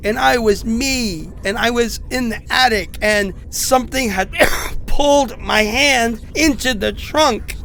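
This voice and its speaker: A man's voice: high voice, vocal fry, pushed and bright, a little hiss or hum, full character voice. A man playing a sick, stranded man who rambles anxiously and wryly through recorded messages in a horror audio drama. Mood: frightened. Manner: rambling, conversational, hesitant, wry. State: sick with a cough.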